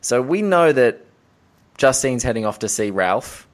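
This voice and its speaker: A man's voice: mid-range voice, expressive, unhurried. A man hosting a casual film discussion, talking in an enthusiastic, analytical and digressive way. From Australia. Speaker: podcast host.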